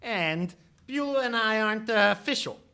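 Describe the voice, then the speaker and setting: A man's voice: high voice, animated, moderate pace, vocal fry, pushed and bright, clear, resonant tone, exaggerated voice. A man playing a defensive, earnest, smitten suspect in a 1940s radio play, with flowery protests. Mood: nonchalant, defensive.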